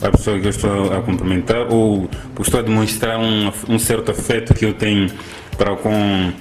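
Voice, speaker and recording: A man's voice: lowish voice, level tone, normal speed. A man answering hesitantly, repeating his words. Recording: faint music behind.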